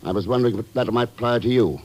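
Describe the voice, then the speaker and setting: An actor playing a cool, commanding secret agent in a 1940s radio spy drama, speaking in a clipped, wry way. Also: male voice, mid-range voice, fast, darkish tone, lightly acted.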